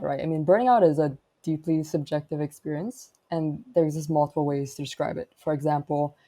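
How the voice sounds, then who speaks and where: Woman podcast host reading her questions, deep mellow voice. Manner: reads prepared definitions. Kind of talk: scripted interview questions.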